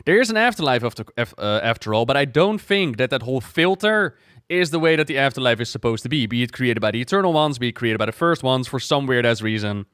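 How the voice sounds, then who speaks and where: A man giving casual reaction commentary as a game lore streamer, musing out loud in a conversational way. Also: mid-range voice, expressive, fast, clean tone, no room sound, studio quality.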